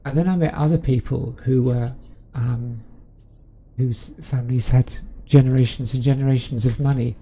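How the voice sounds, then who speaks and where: Gravelly woman, southern english accent, very dark mellow tone, faint background hiss, let's-play chatter. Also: very deep voice, poor audio. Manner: chatty, rambling, with frequent ums. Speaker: game streamer. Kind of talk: casual let's-play commentary.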